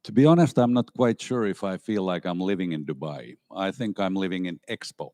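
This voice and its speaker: A man's voice: low-pitched, expressive, brisk, warm tone, no room sound, clean studio sound. A man from Finland, a student panelist speaking candidly and at length. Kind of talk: panel discussion.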